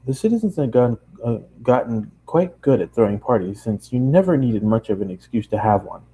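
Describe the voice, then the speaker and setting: A man's voice: mid-range voice, warm tone, slightly rough, rough recording. A man narrating a story aloud in an amateur reading, now and then stumbling and correcting himself. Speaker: amateur fan fiction reader.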